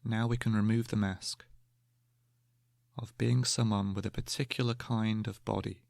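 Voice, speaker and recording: Low, gravelly male meditation guide. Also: no room sound, studio quality. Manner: calm, gentle, measured with long pauses. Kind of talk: guided meditation, slow spoken instruction.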